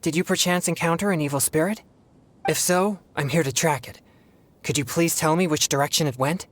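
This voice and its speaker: An actor playing an earnest, polite young exorcist in a video game, speaking in a formal, measured, self-controlled way. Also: male voice, a little high, no echo, lightly acted. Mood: anxious, apologetic.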